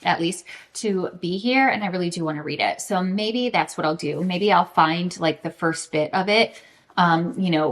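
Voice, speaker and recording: A woman with a medium-pitched voice talks to her audience in a casual book vlog. Her delivery is informal and animated, with plenty of emphasis. She has a self-deprecating, humorous way of putting things. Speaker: book vlogger. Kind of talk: casual chatty vlog to camera.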